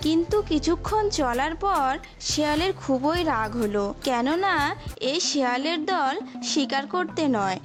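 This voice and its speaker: A woman's voice: sits high, expressive, a little slow. A woman narrating a scripted story for children as a storyteller. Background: prominent music.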